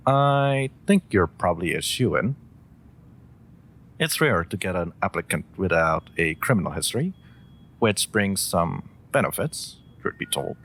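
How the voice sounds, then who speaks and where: A man's voice: a bit bright, full character voice. A man playing a polite, theatrical supervillain in a scripted audio roleplay, speaking courteously with hesitant asides and faint menace. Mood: cordial, measured. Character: polite, theatrical male supervillain interviewing a job applicant. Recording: faint background ambience and rumble.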